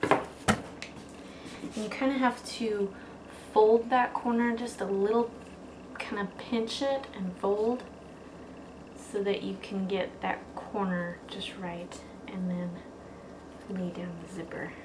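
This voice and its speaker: Female craft tutorial host, informal step-by-step delivery, medium pitch, roomy echoing sound. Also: steady tone, slow pace, long pauses. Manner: informal, addresses viewers as guys. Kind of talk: casual step-by-step how-to instruction. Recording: echoey room with faint background noise.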